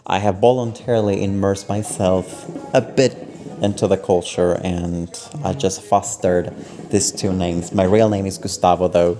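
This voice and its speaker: A young man from Panama with a notably low, deep voice chats casually on a student podcast. He hesitates often, filling gaps with ums, and lets the conversation wander. The delivery is relaxed and unpolished. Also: measured pace, soft background music. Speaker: podcast co-host. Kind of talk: casual chat.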